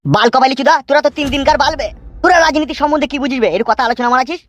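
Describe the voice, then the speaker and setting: Woman playing fast-talking grumpy old tea-stall keeper. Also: high voice, natural voice. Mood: irate, mocking. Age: older. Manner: scolding, repetitive, coarse. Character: irritable older tea-stall keeper, scolding political veteran. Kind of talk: comic cartoon dialogue in rural dialect.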